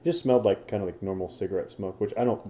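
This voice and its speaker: A man's voice: on the low side, very fast, warm tone, a little hiss or hum. A man co-hosting a podcast, talking in an easygoing, rambling way with casual swearing. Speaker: podcast co-host. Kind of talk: casual chat.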